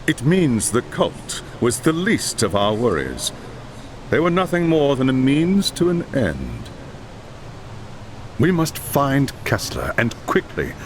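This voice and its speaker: Give me an authoritative wizard master with a wide-ranging voice. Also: male voice, medium pitch, wildly swooping pitch, slow, a little hiss or hum. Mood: grave. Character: commanding older male wizard mentor. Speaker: High Lore Master.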